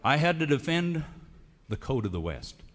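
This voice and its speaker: A man with an American West accent recites comic narrative cowboy poetry in a folksy, theatrical drawl with drawn-out emphasis. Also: a little high, big pitch swings. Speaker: cowboy poet. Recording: reverberant hall recording of a radio show.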